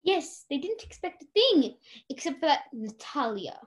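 A woman's voice: high voice, very animated, normal speed. A young woman acting a commanding, scheming society lady in a radio play. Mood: stern, conspiring. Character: Lady Eleanor, commanding, scheming society lady hiding her crimes.

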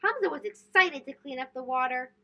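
A woman reads a children's storybook aloud in a high voice. Her delivery is expressive and very animated, leaning on key words. The recording sounds a little dull and lo-fi. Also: brisk. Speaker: storybook reader and host.

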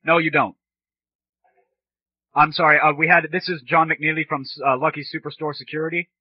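A man's voice: on the high side, little pitch movement, normal speed. Sarcastic man in muffled lo-fi audio. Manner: sarcastic, foul-mouthed. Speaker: show host. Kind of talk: irreverent comedy show and prank call. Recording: dull lo-fi old internet radio audio.

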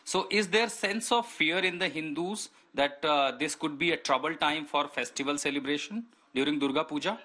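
A man's voice: fairly high pitch, normal speed, hardly any pauses, sharp tone. A man conducting a live TV news interview, asking probing questions with occasional fillers.

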